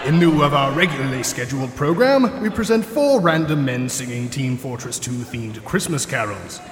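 A man's voice: mid-range voice, lively intonation, normal speed. A man reading a mock-solemn comedy announcement in a formal, tongue-in-cheek announcer's manner. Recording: echoey hall reverb, music behind.

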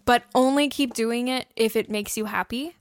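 A woman's voice: fairly high pitch, moderate pace, no room sound, clean studio sound. A young woman co-hosting a podcast, giving casual, earnest and encouraging advice. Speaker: podcast host and audio drama producer. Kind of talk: casual how-to podcast talk.

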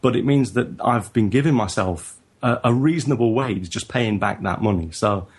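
A man with a northern English accent, talking in a halting, reflective way as a guest in a casual interview. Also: lowish voice, husky. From northern England. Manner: halting, reflective, frequent restarts. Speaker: guest photographer.

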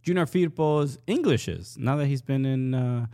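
A man co-hosting a casual soccer podcast, talking in a halting way with many fillers and restarts. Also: medium pitch, dark tone. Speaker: podcast co-host.

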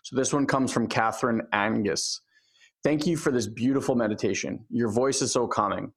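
A man's voice: medium pitch, normal speed, slightly rough. A man hosting a podcast who introduces guests and promotes products in an upbeat, enthusiastic manner. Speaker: podcast host. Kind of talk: enthusiastic show host.

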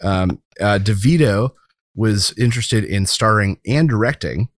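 A man's voice: on the low side, measured pace, dry recording, studio quality. A man hosting a podcast, chatting casually about films and leaning on key words in an informal way. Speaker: podcast host. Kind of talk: casual film discussion.

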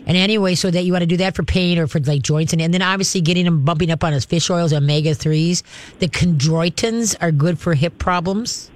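A woman's voice: lowish voice, fairly quick, no room sound. A woman in her sixties hosting a pet care call-in radio show, talking in a folksy, rambling, chatty way into a studio microphone. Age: 60s.

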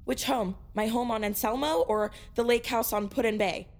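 A woman's voice: a little high, moderate pace, darkish tone, close to an everyday voice. An actress playing a spoiled, argumentative, snarky teenage girl in an audio drama.

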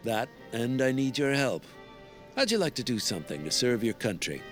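Cowboy senator, self-assured, grand, deliberate, very animated intonation, radio play. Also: male voice, medium pitch, faint music behind. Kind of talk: audio drama acting.

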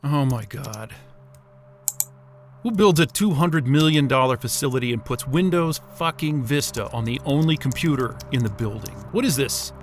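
A man's voice: medium pitch, expressive, moderate pace, faint music behind, close to an everyday voice. An actor playing an agitated, foul-mouthed male astrophysicist in an audio drama, swearing and stammering. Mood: frustrated, muttering. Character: panicked, foul-mouthed astrophysicist trapped in a remote station. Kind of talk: naturalistic audio drama acting.

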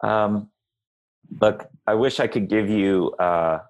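A man giving explanatory interview answers as a public health guest, in a measured, halting manner that searches for words. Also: on the low side.

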